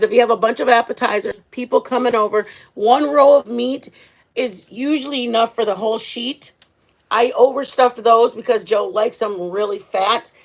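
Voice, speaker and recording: A woman hosting a home cooking vlog, talking casually and informally to her viewers in her kitchen. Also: fairly high pitch. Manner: chatty, informal, addresses viewers as guys. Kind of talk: casual cooking demonstration to camera.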